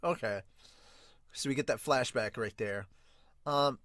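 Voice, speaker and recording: A man hosting a podcast, reading manga aloud and reacting casually with excitable, sweary interjections. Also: mid-range voice, unhurried, no room sound, studio quality. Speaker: podcast host.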